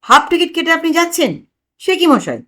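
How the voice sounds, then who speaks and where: A woman's voice: extremely high pitch, sing-song, a little fast, bright, forceful tone, pitched above the performer's own voice, heavily acted. A woman voicing an astonished male train ticket inspector in a comic audio story, incredulous and questioning.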